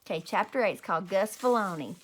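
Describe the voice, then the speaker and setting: A woman reading a children's story aloud in an expressive, emphatic way, like a teacher. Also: mid-range voice, brisk, poor audio. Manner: animated, emphatic.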